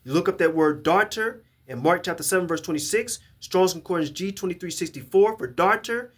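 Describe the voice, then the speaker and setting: A man's voice: high voice, animated, fairly quick, breathy edge. A man giving a scripture lesson to a camera, emphatic and often repeating and restarting his words as he reads verses aloud. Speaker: Bible teacher.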